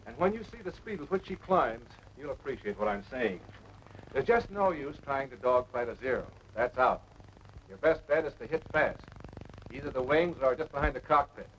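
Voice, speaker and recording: An actor playing a seasoned, patient air force officer giving measured, authoritative instruction in a 1940s military training film. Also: male voice, mid-range voice, lively intonation, a bit bright, close to an everyday voice. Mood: cautionary. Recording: noisy dull vintage film soundtrack.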